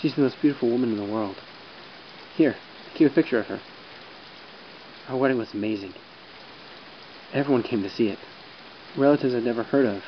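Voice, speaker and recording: A man's voice: medium pitch, brisk, long pauses, close to an everyday voice. A man playing an earnest, emotional young army medic, shaken and idealistic, in an audio drama. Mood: wistful. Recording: dull sound with faint rain hiss.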